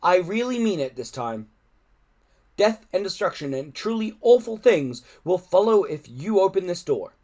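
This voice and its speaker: A man's voice: sits high, big pitch swings, normal speed, darkish tone, voice pushed up. A man voicing a threatening pirate's warning from a storybook, declaimed emphatically and grandly. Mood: grave warning.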